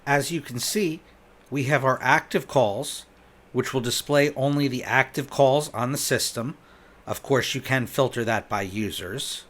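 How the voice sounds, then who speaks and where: Male software demo narrator, mid-pitched. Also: measured pace. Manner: conversational, step-by-step guiding. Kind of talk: instructional product walkthrough narration.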